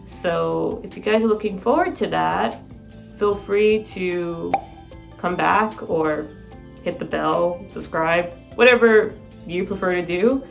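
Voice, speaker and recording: A sleep-deprived woman with a raspy voice, chatting excitedly in a casual vlog. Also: lowish voice, slow, faint music behind. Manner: excited, rambling, laughs often. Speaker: vlogger.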